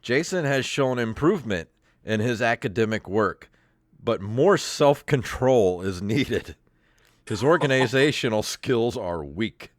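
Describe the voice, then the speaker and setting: An American man in his fifties hosting a podcast in casual, joking chat, amused, self-deprecating and quick to laugh. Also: medium pitch, animated, dry recording, clean studio sound. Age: 50s. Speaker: podcast host. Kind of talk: casual joking chat, reading aloud from old papers.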